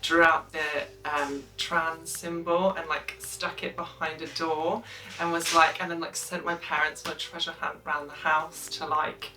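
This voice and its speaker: A woman's voice: deep voice, normal speed. A woman in her twenties telling a story chattily and animatedly in a documentary interview. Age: 20s. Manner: chatty, animated, lots of likes. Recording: roomy, distant mic, music under.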